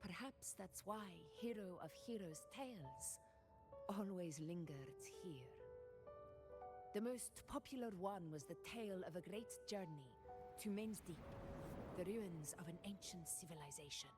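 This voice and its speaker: Proud solemn warrior woman in a game, lowish voice, measured n dramatic, music behind. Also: lively intonation. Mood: solemn, reminiscing. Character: proud, solemn woman warrior haunted by her lost hometown. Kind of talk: video game voice acting, storytelling.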